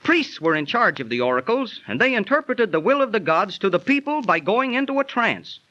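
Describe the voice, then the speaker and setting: An actor playing a breezy, good-natured know-it-all hobo in a slangy, explanatory 1930s radio drama manner. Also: male voice, high-pitched, very animated, a little fast, bright, forceful tone, full character voice. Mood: storytelling. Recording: muffled 1930s radio transcription.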